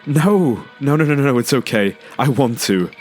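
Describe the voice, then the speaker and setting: Man acting hesitant, expressive young father. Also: mid-range voice, normal speed, close to an everyday voice. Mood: sincere, reassuring. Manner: hesitant, stammering, repeats words. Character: earnest, self-doubting father seeking a mentor. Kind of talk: audio drama acting. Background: music.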